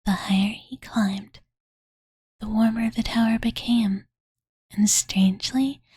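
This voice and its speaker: Woman as a whispering dragon girl, slow and breathy. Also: on the high side, steady tone, dry recording, studio quality, full character voice. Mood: hushed storytelling. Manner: slow, gentle, valley-girl filler "like", refers to self in third person. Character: cute, childlike dragon girl, devoted and slightly pouty, telling bedtime stories. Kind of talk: ASMR roleplay, bedtime storytelling. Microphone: close studio condenser microphone, dry.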